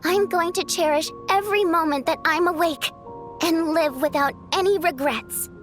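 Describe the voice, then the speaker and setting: An actress playing a gentle, thoughtful young woman in a video game, speaking softly, wistfully and with care. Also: extremely high pitch, normal speed, soft background music. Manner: soft, wistful, caring.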